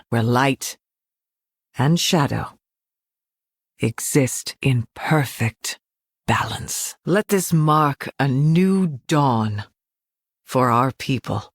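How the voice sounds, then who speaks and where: A woman playing a devout, regal elven leader in a fantasy game, speaking with measured, earnest weight. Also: a bass voice, dry recording, clean studio sound, exaggerated voice. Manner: slow, measured, earnest oration.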